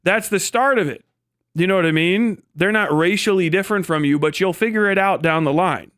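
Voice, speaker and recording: A man with a fairly high, very clear and smooth voice talks like a livestream host. He gives casual political commentary, rambling and swearing freely in an animated way. The sound is dry and close. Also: brisk, no room sound.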